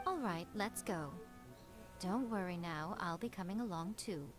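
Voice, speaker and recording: A woman's voice: fairly low pitch, measured pace, lightly acted. A woman playing a sly, elegant fox shrine maiden in a video game, teasing and haughty. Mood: breezy. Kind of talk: scripted video game dialogue. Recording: game music behind the voice.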